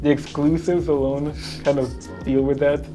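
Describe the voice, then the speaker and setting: Even-toned man debating casually over music. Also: fairly high pitch, normal speed, rough recording. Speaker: debate show contestant. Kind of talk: casual argumentative chat.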